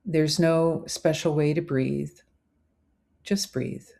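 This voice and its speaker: A woman narrating a scripted guided visualization as a meditation host, in measured, deliberate phrases. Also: low-pitched, steady tone, vocal fry, warm tone.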